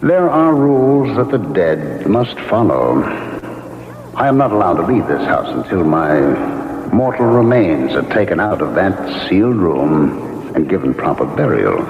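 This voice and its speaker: Deep, echoing, mournful male ghost. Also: very animated, slight background noise, heavily acted. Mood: resigned, courteous. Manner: formal, measured, hesitant storytelling. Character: ghost of a murdered, betrayed would-be novelist, gentle and mournful. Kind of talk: classic radio drama acting. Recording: lo-fi vintage radio, long echoing reverb.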